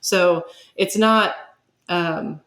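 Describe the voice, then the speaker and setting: A woman speaking as an academic guest, giving explanatory interview answers in measured, searching phrases with asides. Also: lowish voice, fairly bright tone.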